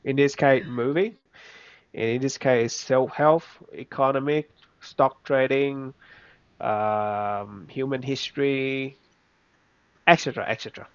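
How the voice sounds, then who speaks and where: Young Vietnamese-accented man, halting. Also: medium pitch, fairly even intonation, clear, resonant tone, no room sound. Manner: halting, with many restarts and simple phrasing. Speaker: interviewee. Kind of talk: casual reflective chat. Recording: slightly dull, dry recording.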